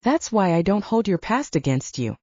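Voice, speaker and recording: A woman voicing a blunt, brisk female investigator who coaches like a mentor in an audiobook. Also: medium pitch, very animated, a little mellow, no room sound. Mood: firm, coaching. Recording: dull lo-fi, very dry.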